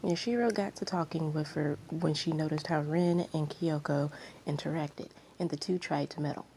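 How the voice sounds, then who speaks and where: Creaky, mellow woman reading a story. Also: sits low, moderate pace, slight background noise, poor audio. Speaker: fan fiction reader. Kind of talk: expressive story narration.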